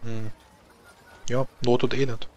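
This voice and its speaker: A man improvising in character as a tabletop game master, explaining things in a halting way. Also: medium pitch, flat delivery, a little mellow, rough recording.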